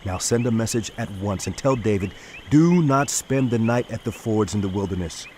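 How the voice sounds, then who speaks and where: An actor playing a shrewd, persuasive male royal adviser in a dramatized audio Bible, speaking with deliberate rhetoric. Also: on the low side, a little mellow, slight background noise, close to an everyday voice. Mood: hushed, urgent.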